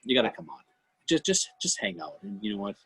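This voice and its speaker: Husky New England man, animated delivery. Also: fairly low pitch, quick pace. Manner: rambling, repeats phrases, swears casually. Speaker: podcast co-host. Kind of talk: casual livestream banter.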